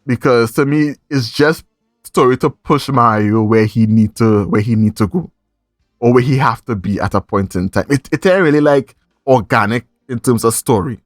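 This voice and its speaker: A man's voice: mid-range voice, moderate pace, dry recording, studio quality, soft background music. A man with a Trinidadian accent hosting a podcast in casual review chat, speaking emphatically and repeating phrases for effect. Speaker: podcast host.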